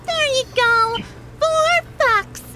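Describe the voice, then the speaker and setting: A woman voicing a bubbly, oblivious young female cartoon pony, excitable and chirpy. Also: very high-pitched, extremely slow, loud music behind the voice, pitched above the performer's own voice, exaggerated voice. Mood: cheerful, oblivious.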